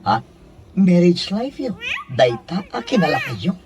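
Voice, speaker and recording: A man's voice: sits high, sing-song, measured pace, music in the background. A Filipino man with an Ilocano accent playing a flustered, stammering married security guard in a radio comedy drama. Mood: puzzled. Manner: flustered, hesitant, stammering exclamations.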